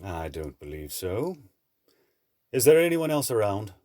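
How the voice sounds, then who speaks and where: A man's voice: on the low side, sing-song, a little slow, mellow, dry recording, clean studio sound. A man playing a suave, menacing villain in an audio drama, mannered, condescending and mockingly polite. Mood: cool, commanding.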